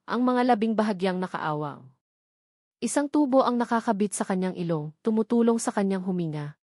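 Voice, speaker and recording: A woman's voice: mid-range voice, darkish tone, no echo, clean studio sound. A woman narrating a romantic drama story in a scripted audiobook style.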